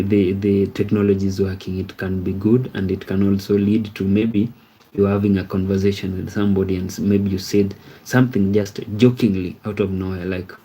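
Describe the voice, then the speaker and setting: A man's voice: low-pitched, warm tone, husky, low-quality recording. A man in his thirties hosting a casual livestream, rambling and repeating himself as he talks to viewers and asks "you see?". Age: 30s. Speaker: livestream host. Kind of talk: casual livestream chat reading viewer comments.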